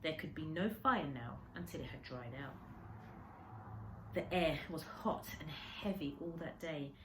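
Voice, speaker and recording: A woman with a low voice reads a children's story aloud in the expressive way of a primary teacher. She leans dramatically on the descriptive words. There is clear background noise on the amateur recording. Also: unhurried.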